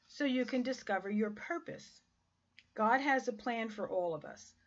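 A woman's voice: a little high, dull audio. An older woman pastor giving a scripted devotional talk from a small room at home, reading steadily and earnestly through a numbered list.

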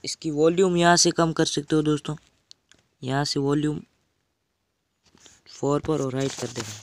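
A man's voice: on the high side, level tone, moderate pace, slight background noise. A teenage male from India giving a casual step-by-step tutorial, addressing viewers as friends and often checking they follow. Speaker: tutorial presenter.

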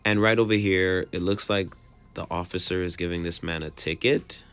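A man's voice: low voice, fairly even intonation, moderate pace, a bit bright, clean tone. A man in his thirties giving a casual, musing vlog commentary, often repeating phrases and asking rhetorical questions. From moved to California in 2005. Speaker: vlogger. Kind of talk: casual observational vlog narration. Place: outdoors on city streets and in a car, phone vlog. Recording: background music under street narration.

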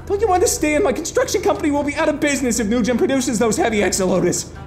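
A woman's voice: high voice, quick pace, a little mellow, faint music behind, lightly acted. A woman playing a haughty, anxious corporate boss in an audio drama, indignant and agitated. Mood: agitated outrage. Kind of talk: audio drama villain acting.

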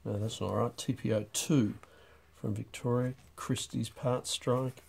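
Slow, dry-spoken man. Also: medium pitch, steady tone, creaky, darkish tone, husky. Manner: dry, unhurried, wry asides. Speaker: stamp auction describer. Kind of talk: off-the-cuff lot walkthrough. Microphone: overhead camera on a desk.

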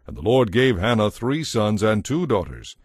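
A man narrating a dramatized audio Bible as a scripted story, measured and solemn. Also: lowish voice, very animated, husky, dry recording, studio quality.

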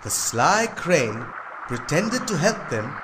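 A man's voice: a little high, sing-song, slow pace, heavy background noise. A man narrating a scripted story for children.

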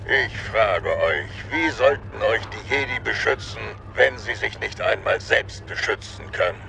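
A man's voice: medium pitch, lively intonation, unhurried, creaky, background noise. A man playing a suave, menacing old aristocratic villain count, formal, persuasive and condescending. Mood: smooth, condescending.

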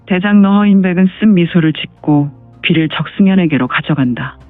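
A high-voiced man reads scripted martial-arts narration. The sound is thin like a phone line, with faint music behind him. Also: a little fast.

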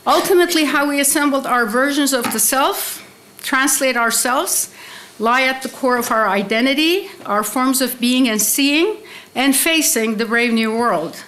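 Female academic keynote speaker, middle-aged, fairly high voice, deliberate scholarly delivery. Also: fairly bright tone, a little hiss or hum. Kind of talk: academic conference lecture, mostly read from prepared text. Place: indoor conference hall, seated at a desk with laptop. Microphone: desk microphone on a stand.

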